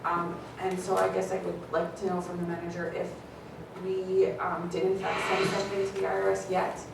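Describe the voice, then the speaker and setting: A woman's voice: fairly low pitch, monotone, vocal fry. A woman council member speaking hesitantly at a township meeting, full of fillers and restarts. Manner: hesitant, filler-heavy, measured. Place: council chamber. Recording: echoey distant room mic with hiss.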